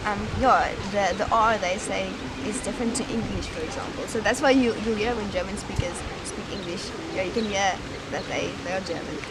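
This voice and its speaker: A woman's voice: mid-range voice, brisk, few pauses, heavy background noise. Young South African woman with an accent, talking outdoors. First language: Afrikaans. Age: young adult. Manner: thoughtful, hesitant with frequent "um" and restarts. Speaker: university student. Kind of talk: casual interview. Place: outdoors in a sunny campus garden.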